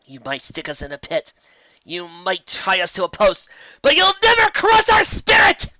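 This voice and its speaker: A man voicing a hot-headed young female pony who shouts defiance. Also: sits high, huge pitch swings, normal speed, no room sound, lo-fi sound, voice pushed up, full character voice. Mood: defiant yelling.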